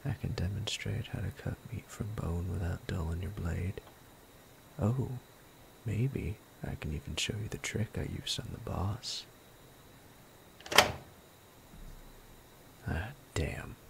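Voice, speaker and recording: A man voicing a sadistic male bandit captor in an ASMR roleplay, low and gravelly, often whispering in a menacing, playful way. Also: a little slow, full character voice. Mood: gleefully sadistic. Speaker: voice actor.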